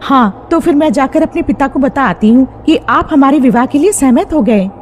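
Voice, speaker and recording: A woman's voice: high-pitched, animated, moderate pace, slight background noise. A woman voicing a young demoness in a cartoon folk tale, a devoted daughter who explains things confidently and reassuringly. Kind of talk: animated folk-tale dialogue.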